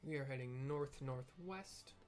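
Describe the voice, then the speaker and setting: Casual streamer thinking aloud over gameplay, rambling and self-deprecating, with quiet music underneath. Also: mid-range voice, measured pace. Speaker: streamer playing a game.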